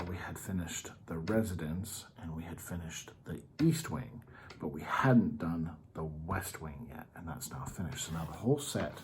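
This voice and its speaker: A man's voice: deep voice, noisy background. A man hosting a children's story time, patiently explaining things in simple terms in an informal, educational read-aloud style.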